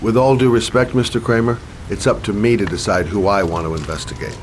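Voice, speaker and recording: An actor playing a private investigator who stays polite and firm under pressure. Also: male voice, on the low side, a little fast, noisy background, close to an everyday voice. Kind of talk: video game cutscene acting.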